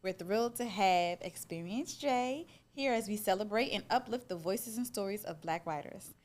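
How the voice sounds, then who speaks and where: A woman's voice: medium pitch, moderate pace, darkish tone. A woman hosting a live interview at an event, introducing and questioning her guest. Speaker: interviewer, host.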